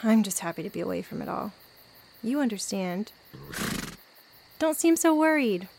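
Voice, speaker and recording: A woman's voice: medium pitch, animated, normal speed. A woman playing a young, kindly guard in a radio drama, speaking in a chatty way. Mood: chatty, soothing. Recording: clearly noisy, stable sound effects behind.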